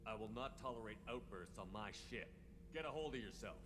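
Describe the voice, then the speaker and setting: An actor playing a stern, authoritative starship commander in a video game, speaking directly and forcefully. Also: male voice, fairly low pitch, lively intonation, a little fast, close to an everyday voice. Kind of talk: video game character acting. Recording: noisy background under game dialogue.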